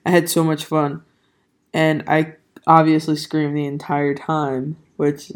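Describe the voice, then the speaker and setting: Hoarse young podcast host, rambling, lots of ums and restarts, monotone delivery, mid-pitched voice. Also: takes it slowly. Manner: rambling, filler-heavy, self-correcting. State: hoarse, voice not fully back. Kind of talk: casual solo podcast chat.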